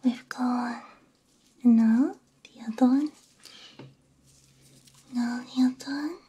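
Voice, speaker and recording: A woman doing a whispered ASMR roleplay, soothing and gently reassuring, close on a binaural microphone in short hushed phrases. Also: a little high, fairly even intonation, slow pace, slightly rough. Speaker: VTuber ASMR host.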